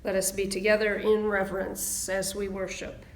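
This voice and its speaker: A woman minister welcoming people to an online service and reading opening words slowly and reverently. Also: medium pitch, little pitch movement, echoey room, rough recording.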